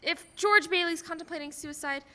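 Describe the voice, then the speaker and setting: A woman playing an eager, impatient apprentice angel with an excitable manner in a radio play. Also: very high voice, a little fast. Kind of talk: filmed radio play acting.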